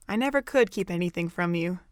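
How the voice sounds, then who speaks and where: Slow, hesitant young woman, acted. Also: fairly low pitch, smooth, dry recording, studio quality. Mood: guarded, hesitant. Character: young female nursing student, guarded and reluctant. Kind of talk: amateur audio drama acting.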